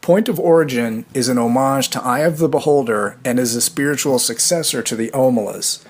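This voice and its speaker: A man giving prepared commentary as a fan, speaking in an earnest, measured and articulate way. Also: medium pitch, slightly rough. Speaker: fan giving podcast feedback.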